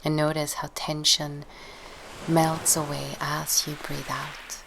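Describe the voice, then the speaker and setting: A woman leading a guided relaxation meditation in a soft, soothing, breathy manner with long pauses, as a yoga guide. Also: low-pitched, rough recording. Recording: steady ocean-wave noise behind voice. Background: sound of sea waves.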